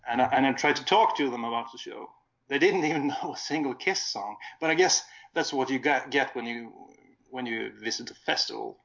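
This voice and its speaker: Man, Swedish accent, gravelly creak, casual conversation, halting delivery, muffled lo-fi recording. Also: mid-range voice, a bit bright. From Sweden. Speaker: guest recounting a concert he attended.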